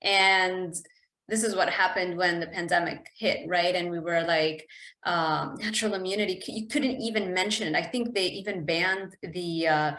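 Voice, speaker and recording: A woman's voice: fairly low pitch, steady tone, moderate pace, fairly bright tone, reverberant, poor audio. A woman from Lebanon hosting a conversational podcast interview. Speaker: podcast host.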